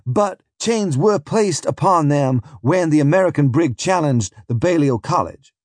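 A man's voice: fairly high pitch, animated, unhurried, no room sound, pitched above the performer's own voice. A man doing an accusing, pressing counsel. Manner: insistent, accusing questioning. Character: hostile, pressing male prosecuting counsel.

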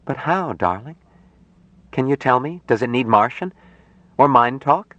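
A man's voice: mid-range voice, lively intonation, a little slow, fairly bright tone, dry recording, muffled recording, pitched above the performer's own voice. Man putting on a worried, affectionate young woman. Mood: puzzled.

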